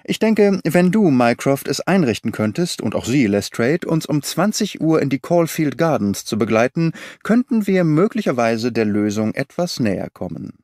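A man voicing a sharp, confident male detective with an ironic edge, pitched higher than his narration. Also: very animated, normal speed, hardly any pauses, no room sound, clean studio sound, lightly acted.

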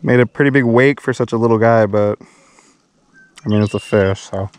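A man's voice: fairly low pitch, normal speed. A young man chatting casually while fishing from a small boat on a river, saying dude and bro, recorded on an action camera. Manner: laid-back, says dude and bro. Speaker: fishing vlogger. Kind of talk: casual outdoor chat. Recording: action camera with clear wind and water noise.

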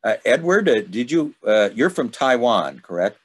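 A middle-aged man hosting an informal online study group, talking in a rambling, halting way over a video call. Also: mid-range voice, sing-song, breathy edge. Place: indoors at home, video call. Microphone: video call microphone.

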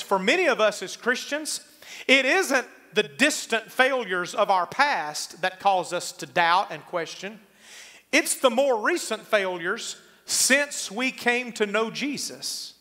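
A middle-aged man preaching a sermon as a pastor, emphatic, slowing on key phrases to drive them home. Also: high voice, bright, forceful tone. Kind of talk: sermon with congregational call-and-response. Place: church sanctuary stage, indoors.

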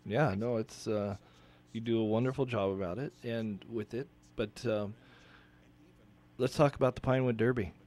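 A man talking in a relaxed, chatty way on a studio microphone. He laughs often and his voice lifts when he tells a story. His pitch sits in a normal middle range. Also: moderate pace. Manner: laughs easily, chatty and animated. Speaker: radio show host. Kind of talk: casual radio chat. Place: radio studio.

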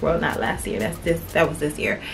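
A woman's voice: low voice, lively intonation, brisk, creaky, music in the background. A young woman chatting emphatically to her viewers in a casual try-on haul, calling them "y'all". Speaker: fashion vlogger. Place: indoors in front of a painted wood backdrop.

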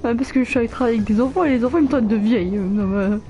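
A woman's voice: on the high side, rapid-fire, dark tone, lo-fi sound, faint music behind. A nineteen-year-old woman, chatting playfully with viewers while playing a game live, flustered and teasing. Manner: playful, bantering, easily flustered. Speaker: streamer. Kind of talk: live gameplay chat with viewers.

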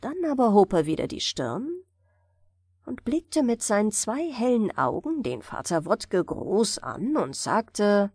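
A woman reads a fairy tale as a bedtime audiobook. Her mid-pitched voice moves in lively, expressive curves. The recording is close and dry, with very little room. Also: takes it slowly, slightly rough, no echo. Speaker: audiobook storyteller.

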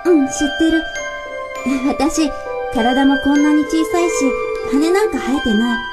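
A woman's voice: high-pitched, measured pace, over loud music. A woman playing a sweet, dreamy, determined young ant in a radio drama, girlish and earnest. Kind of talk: cartoonish radio drama acting.

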